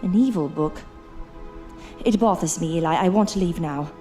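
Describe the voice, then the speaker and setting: A woman's voice: lowish voice, moderate pace, mellow, natural voice. A woman playing an anxious, observant investigator in a period horror audio drama, speaking earnestly and formally with an uneasy edge. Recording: faint music under the voice. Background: faint music.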